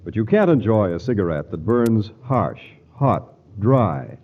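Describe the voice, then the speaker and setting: Low-voiced man reading an ad. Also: very animated, unhurried. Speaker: radio announcer. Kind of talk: scripted ad read. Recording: tinny 1940s radio broadcast.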